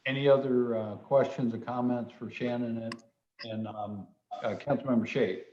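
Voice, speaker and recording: A man chairing a meeting as mayor, answering in a halting, deliberate way on an echoey conference call. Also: mid-range voice, fairly even intonation, highs cut off, noisy background. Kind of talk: replying and moderating in a meeting.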